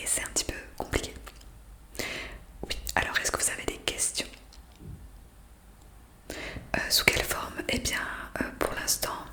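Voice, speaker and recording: A woman speaking in a breathy whisper for an ASMR roleplay, explaining things softly with halting hesitations. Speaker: ASMR creator playing a counsellor for new zombies.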